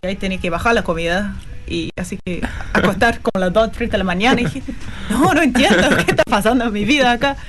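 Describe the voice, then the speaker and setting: A woman guest on a radio show, chatting casually and telling a story in an animated way, laughing often. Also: medium pitch, quick pace. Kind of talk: casual chat.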